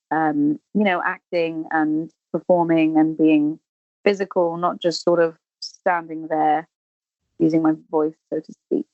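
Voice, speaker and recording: A woman's voice: low-pitched, no echo, dull audio. A woman in her thirties from Wales, a Welsh speaker with a Welsh accent, chatting casually as a podcast guest about her work as an actress and singer, full of ums and restarts. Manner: chatty, warm storytelling with many ums and restarts. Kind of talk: casual interview chat.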